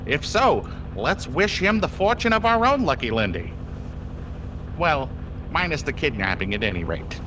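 A man's voice: a little high, sing-song, moderate pace, lo-fi sound. 1930s radio field reporter, played by a man: earnest and florid, swooping intonation, noisy background ambience. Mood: earnest, formal. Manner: grandiloquent, formal announcing. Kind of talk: 1930s radio drama spoof.

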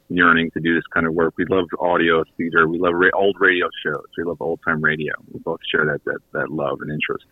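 A low-pitched man gives a casual radio interview as a guest. He speaks haltingly, feeling for his words, over a thin, phone-like connection. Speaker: guest, audio theater creator and writer. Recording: echoey, tinny phone-line connection.

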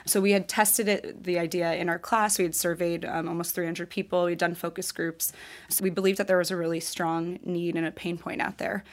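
Female founder, lower register, clear and articulate storyteller. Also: level tone, brisk. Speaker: MBA student, start-up founder. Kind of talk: interview answers.

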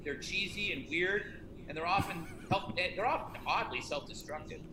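A man's voice: high voice, moderate pace. A middle-aged man, an author talking about his self-help book in a casual, rambling, self-deprecating way with many restarts. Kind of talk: casual conversation. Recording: echoey room with noticeable noise.

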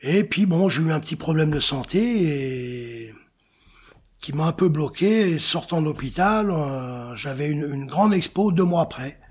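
A man's voice: a little high, expressive, measured pace, slightly rough. A middle-aged man answering interview questions as an artist, searching for words and often repeating phrases. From born in Senegal, childhood in Africa. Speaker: artist, engraver and painter being interviewed.

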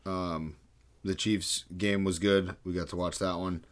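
Deep-voiced man giving laid-back sports takes. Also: flat delivery, unhurried. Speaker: podcast co-host. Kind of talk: casual sports chat.

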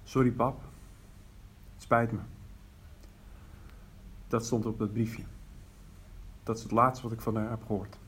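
Older male actor as grieving father, average pitch, halting and plain-spoken, naturalistic podcast drama, some background noise. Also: little pitch movement, a bit bright, close to an everyday voice. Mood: subdued grief. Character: grieving widowed father recalling his missing daughter. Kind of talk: fiction podcast, naturalistic interview acting.

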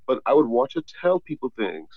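A man from the Bahamas, a guest author, chatting casually in an interview, often repeating words as he tells animated stories. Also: medium pitch, a little fast, creaky, no room sound, narrow, tinny audio.

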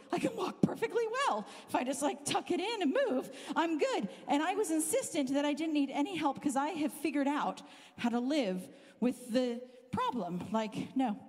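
Middle-aged Southern Californian woman, guest preacher, bright and higher-pitched, playful, recorded live in a reverberant church. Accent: Southern Californian, softened by years in the UK. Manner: animated, self-deprecating, laughs often. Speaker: guest speaker. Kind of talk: humorous storytelling sermon. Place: church hall.

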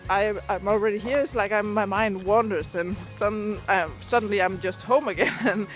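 A woman's voice: mid-range voice, moderate pace, faint music behind. A native Danish-speaking woman with a Danish accent, chatting casually in a vlog and hesitating as she searches for words. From Denmark. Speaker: video and podcast creator. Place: outdoors, on a walk. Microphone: handheld device at arm's length.

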